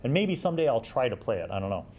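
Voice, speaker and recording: A man's voice: medium pitch, big pitch swings, very fast, darkish tone. Middle-aged man chatting through a review. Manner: enthusiastic, informal, rambling. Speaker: host of a review series. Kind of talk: casual chatty magazine review, commenting while paging through.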